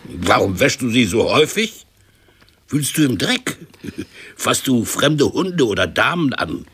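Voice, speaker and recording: An actor playing an ailing, scornful and impatient uncle of eighty in a radio drama, grumbling and firing sarcastic questions. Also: male voice, mid-range voice, big pitch swings, measured pace, fairly bright tone, slightly rough, exaggerated voice. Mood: scornful, exasperated. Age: older.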